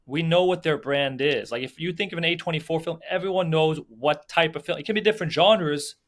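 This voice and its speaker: A man's voice: a little high, a little fast. A young man from Belgium, a film producer and sales agent, talking loosely in a podcast interview, full of fillers, restarts and "you know what I mean".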